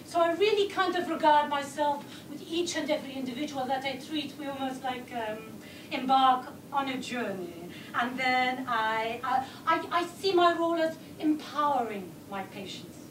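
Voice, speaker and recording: Hesitant, high-voiced woman therapist, far off. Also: animated, normal speed, hardly any pauses, echoey room, a little hiss or hum. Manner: earnest, hesitant, repeats words while searching. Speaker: head speech and language therapist. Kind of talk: recorded interview.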